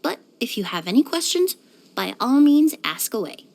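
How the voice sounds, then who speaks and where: High-voiced, courteous young perfumer woman. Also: very animated, gravelly. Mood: matter-of-fact, composed. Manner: polite, measured, softly formal. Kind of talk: scripted video game character lines.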